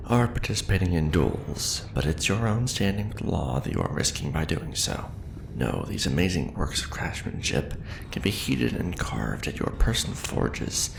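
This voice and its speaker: A man playing a seasoned, patient and wry craftsman teacher in an ASMR roleplay, speaking in a measured, hushed way with dry humor. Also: rumbling low, vocal fry, reverberant, noisy background, exaggerated voice. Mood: knowing, dry. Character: seasoned male sci-fi fantasy mask-forging craftsman teacher, patient, wry. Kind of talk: ASMR roleplay, instructional monologue.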